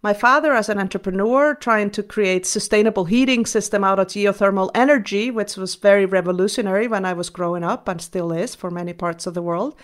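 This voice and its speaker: A woman talks through her answers in a steady, mid-pitched voice. She is earnest and measured, pausing on ums and starting over now and then. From Iceland. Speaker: guest. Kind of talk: reflective interview answers.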